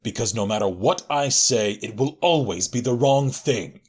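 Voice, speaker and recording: A man's voice: medium pitch, lively intonation, moderate pace, a little mellow, slightly rough. A man playing a blunt, irritable and stubborn military marshal in an audio drama. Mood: irritated, defensive. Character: gruff, stubborn military marshal, guilt-ridden, defensive. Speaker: voice actor. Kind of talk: audio drama dialogue and narration. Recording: music under the voice.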